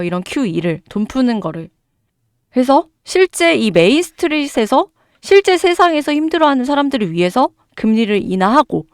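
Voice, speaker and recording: A woman giving emphatic stock market commentary to her viewers, hoarse from a cold. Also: fairly high pitch, no room sound, studio quality. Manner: emphatic, addresses viewers directly, rhetorical questions.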